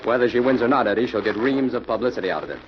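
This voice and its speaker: Guy playing confident old radio mystery hero, talks fast, muffled noisy recording. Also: medium pitch, close to an everyday voice. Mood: assured. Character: assured male amateur detective, a cultivated biochemist. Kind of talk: 1940s radio drama acting.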